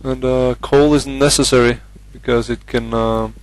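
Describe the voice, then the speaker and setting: A man's voice: mid-range voice, fairly even intonation, moderate pace, no echo, rough recording. A young man from Norway with a Norwegian accent, casually commentating his game with many hesitant fillers. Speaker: gamer.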